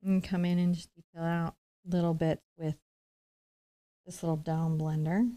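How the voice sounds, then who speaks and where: A woman's voice: fairly low pitch, flat delivery, unhurried, dark tone. A middle-aged woman giving a conversational step-by-step painting lesson, chatty and explanatory with reassuring asides. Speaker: painting instructor, host.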